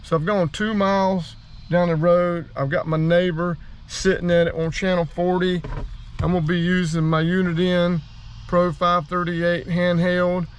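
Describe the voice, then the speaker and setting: Guy w/ a kinda high voice, folksy, chatting to viewers while showing gear, bit of background noise. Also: level tone, unhurried. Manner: folksy, addresses viewers directly. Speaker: outdoors channel host and radio operator. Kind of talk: casual hands-on demonstration vlog.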